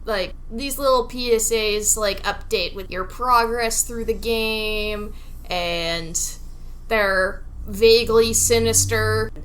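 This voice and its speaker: A woman co-hosting a casual, comic game discussion, animated and playfully incredulous, landing her points with emphasis. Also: fairly high pitch, takes it slowly, low-quality recording. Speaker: podcast co-host.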